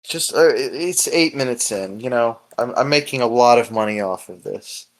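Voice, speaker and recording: A man's voice: mid-range voice, normal speed. A man hosting a casual podcast chat, rambling and hesitant, swearing freely as he goes. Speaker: podcast host.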